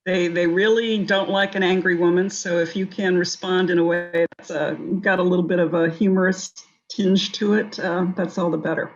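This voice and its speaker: A woman's voice: on the low side, fairly even intonation, moderate pace, dull audio. A woman giving advice on a discussion panel in an assertive, wry and humorous way. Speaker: round table panellist.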